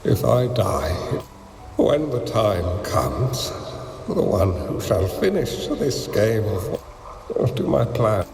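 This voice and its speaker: An actor playing a calm, resigned dying master strategist in a video game, grave and halting, with a gravelly voice. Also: male voice, lowish voice, heavily acted. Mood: solemn, resigned. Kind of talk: dramatic video game voice acting. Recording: echoey hall reverb, faint background noise.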